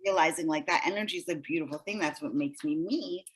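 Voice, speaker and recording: A woman's voice: low-pitched, fast, slightly rough. A middle-aged woman speaking earnestly as an interview guest, explaining things and often checking in with the listener. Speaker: podcast guest, energy healer. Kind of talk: heartfelt interview conversation.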